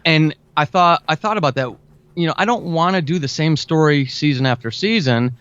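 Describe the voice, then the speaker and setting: A man's voice: a little high, fairly quick, a bit bright, clean tone, no room sound, dull audio. An American man talking chattily and with enthusiasm as a guest in a conversational interview, repeating words for emphasis. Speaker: podcast guest, audio drama writer.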